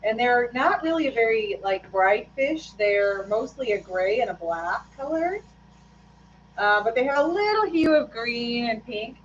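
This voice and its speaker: A woman's voice: fairly high pitch, animated, measured pace, muffled recording, noisy background. Rambling woman chatting while she paints. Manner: rambling, hesitant, many fillers and restarts. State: slightly hoarse after losing her voice. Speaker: lure painter streaming live. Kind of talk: casual live-stream chat while working.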